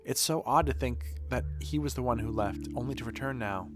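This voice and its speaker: A man reading game dialogue as an earnest, dutiful woman who runs a shelter, in a measured, formal, reflective manner. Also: medium pitch, lightly acted. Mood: wistful. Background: music.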